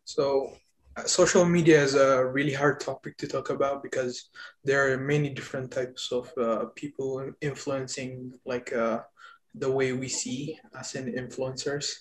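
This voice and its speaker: A man, explaining things in a measured, hesitant way as a guest in a casual podcast conversation. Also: medium pitch, little pitch movement, rough recording. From Ethiopia.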